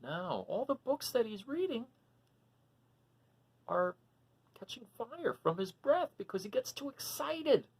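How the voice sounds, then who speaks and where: A woman reading a picture book aloud for children, animated and often stopping to ask the listener questions. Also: a little high, takes it slowly, long pauses, husky. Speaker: storybook reader for children.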